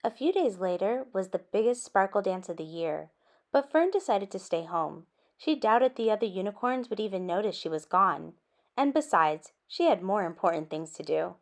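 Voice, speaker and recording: A woman reading a children's story aloud in an expressive way, with animated asides addressed to young listeners. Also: mid-range voice, normal speed. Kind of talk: expressive picture-book read-aloud.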